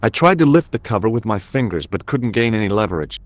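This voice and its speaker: An actor playing a wry American adventurer in a video game, narrating his investigation in a dry, deadpan, measured way. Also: lowish voice, dry recording. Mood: wry, observant.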